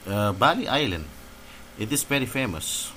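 Indonesian guy mid 30s, lowish voice, indonesian accent, casual english chat, bit of noise on the call. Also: very animated, normal speed, a bit bright. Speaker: English learner in conversation practice. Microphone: online voice call. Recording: noisy online call.

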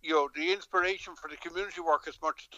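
A man's voice: fairly high pitch, fairly even intonation, quick pace, sharp tone, dry recording, lo-fi sound. A middle-aged Irish man, a politician, talking in a remote interview with frequent hesitations, restarts and a storytelling manner. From Dublin, Ireland.

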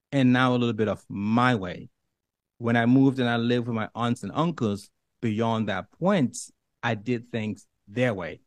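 A man's voice: on the low side, no room sound, clean studio sound. A man from Liberia speaking as an interview guest in an earnest, self-assured, emphatic motivational way. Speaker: interview guest, professional dancer and author.

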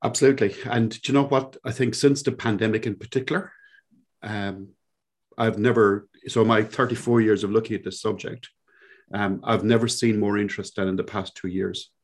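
A man around sixty speaks with a Cork Irish accent. His voice sits low, and he muses at length as a podcast guest, with plenty of ums and restarts. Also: steady tone, brisk. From Ireland. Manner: reflective, rambling with fillers. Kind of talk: conversational interview.

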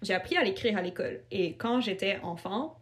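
A young adult woman with a Québécois French accent, a writer chatting as a podcast guest, talking casually with frequent fillers and quick laughs. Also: mid-range voice, expressive.

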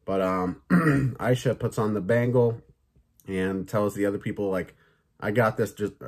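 A man hosting a podcast, reviewing films and shows in a loose, chatty way with many fillers and some swearing. His voice sounds a little raspy and sore. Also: on the low side, a little slow. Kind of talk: casual review chat.

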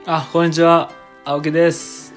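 二十代の男性で、ラジオの相方として丁寧語で相づちを打ちつつ、ためらいがちに受け答えする。. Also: male voice, fairly high pitch, little pitch movement, unhurried, faint music behind. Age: 20s. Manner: polite, hesitant, frequent short agreeing replies. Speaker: radio show co-host. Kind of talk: casual chat, responding to host.

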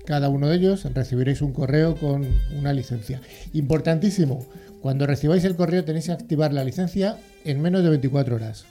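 Male radio host, upbeat and jokey, mellow warm tone, faint music bed. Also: a little high, quick pace. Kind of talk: casual radio chat.

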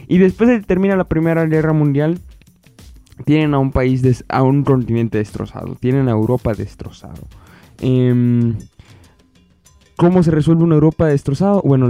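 A man speaks as a podcast host in a very dark, relaxed, mellow voice at a medium pitch. He delivers news commentary conversationally, putting rhetorical questions straight to listeners. The sound is dry and close, with music playing faintly behind him. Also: no echo. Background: background music.

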